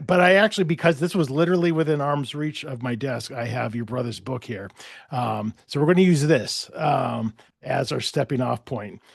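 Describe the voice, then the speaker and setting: A man's voice: mid-range voice, creaky, dry recording, studio quality. A man hosting a casual interview podcast, rambling a little and often restarting his thoughts. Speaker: podcast host.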